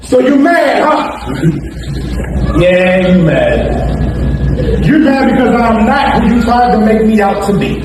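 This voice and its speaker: A man's voice: high-pitched, expressive, slow, pauses often. A man performing spoken word poetry with an intense, defiant delivery. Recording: echoey, distant, noisy live recording.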